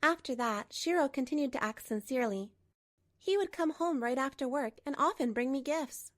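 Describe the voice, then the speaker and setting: A woman's voice: sits high, moderate pace. A woman reading a scripted first-person drama narration for a manga story dub. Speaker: narrator and lead voice actor.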